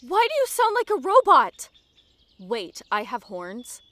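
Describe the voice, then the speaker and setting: An excitable horned adventurer woman voiced by an actress in an audio drama. She speaks very high, with wildly swinging pitch and a drawn-out pace. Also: full character voice. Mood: excited, startled.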